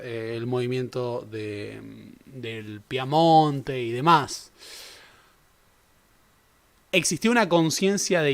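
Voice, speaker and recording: A young man teaches history in a relaxed, chatty way. His voice sits somewhat high for a man. He often stops to correct himself and drops in jokes as he goes. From Argentina. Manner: conversational, self-correcting with joking asides. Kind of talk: casual history lecture.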